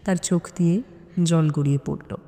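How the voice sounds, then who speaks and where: A woman's voice: low-pitched, fairly even intonation, moderate pace, dark tone. A woman, reading a mystery story as a dramatic scripted narration. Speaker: audiobook narrator. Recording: long hall reverb.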